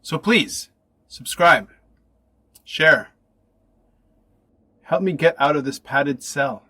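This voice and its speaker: A man hosting a podcast, chatting casually and playfully with quick interjections. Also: a little high, expressive, bright, forceful tone. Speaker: podcast host.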